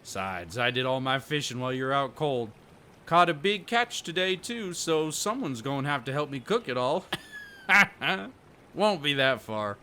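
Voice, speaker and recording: A man acts out a hospitable country fisherman in an audio roleplay. He has a bright, forward voice and speaks in plain folksy phrases with dropped g's. Some ambient noise sits behind him. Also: mid-range voice, expressive, unhurried. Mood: hearty, helpful. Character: kindly rustic fisherman, folksy storyteller, hospitable.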